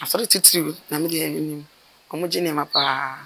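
A middle-aged woman with a Ghanaian accent, a mortuary worker telling her stories in an outdoor interview, animated and gesturing as she talks. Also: sits low, very noisy. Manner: animated, gesturing with her hands, rapid bursts of speech. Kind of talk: storytelling interview. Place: outdoors by a building wall.

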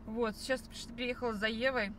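A woman's voice: on the high side, steady tone, moderate pace, a bit bright. A woman in her thirties chatting casually to camera from a parked car, rambling with many fillers and restarts. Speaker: vlogger. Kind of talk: casual chat. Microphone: phone camera on the dashboard. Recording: car with noise behind.

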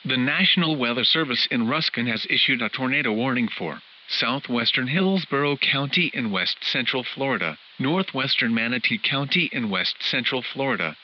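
A man's synthetic text-to-speech voice reading a scripted emergency weather bulletin in a formal, clipped way, as a radio alert announcer. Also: mid-range voice. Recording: hissy, muffled weather radio broadcast.

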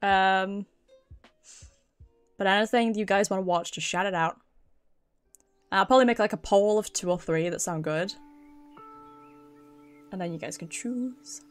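A woman's voice: medium pitch, unhurried, pauses often, clear, resonant tone. A British woman streamer chatting casually and expressively with her viewers, swearing freely. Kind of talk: casual livestream chat. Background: background music.

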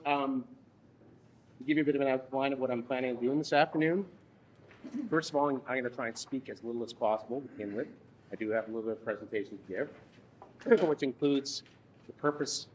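A man in his thirties leading a workshop from a lectern in a conference room, speaking haltingly with frequent restarts. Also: mid-range voice, a little hiss or hum, poor audio. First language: English. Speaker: workshop instructor. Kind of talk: workshop presentation.

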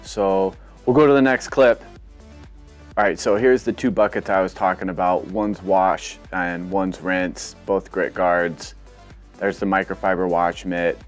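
Brief: lower-voiced guy, laid-back how-to vlog narration, faint music under. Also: a little slow. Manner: relaxed, rambling, filler-heavy explaining. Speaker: car detailing vlogger. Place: outdoor car wash bay.

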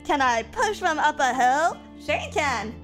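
High-voiced woman streamer with a stuffy cold, casual chat with bursts of yelling, clear game music under her. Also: measured pace. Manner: swings from mumbling to loud excited yelling.

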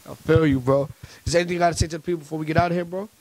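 Quick, slangy young male interviewer. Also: a little high, rough recording. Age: young adult. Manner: animated, slangy, peppered with bro and feel me. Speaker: interviewer and host. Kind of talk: casual hype interview. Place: small room, seated on a couch. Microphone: handheld microphone. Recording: clear background noise, clicks.

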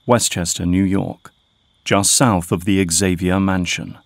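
A man narrating an audio drama in a steady, scripted storytelling manner. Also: low voice, a little slow, very noisy.

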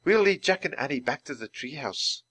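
A man voicing a calm, kindly young enchantress in a children's audiobook, measured and gently authoritative. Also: fairly high pitch, slightly rough, pitched above the performer's own voice, exaggerated voice.

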